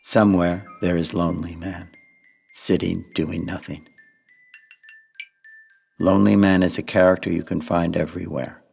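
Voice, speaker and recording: A man narrating a poetic radio play in measured, sparse phrases. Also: low-pitched, little pitch movement, gravelly, a little mellow, breathy edge, big-room sound, faint music behind.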